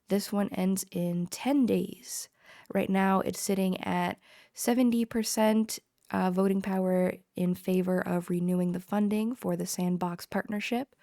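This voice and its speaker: A woman with a cold hosting a community call, reading through proposal summaries in a brisk, businesslike way with frequent ums. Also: medium pitch, gravelly, darkish tone, dry recording, studio quality. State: has a cold.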